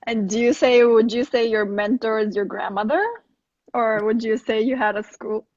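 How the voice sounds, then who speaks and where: A woman's voice: fairly high pitch, fairly even intonation, normal speed, darkish tone, dry recording. Dry, muffled woman host on a call. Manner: encouraging, frequent short affirmations. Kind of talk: casual interview over video call. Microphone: video call.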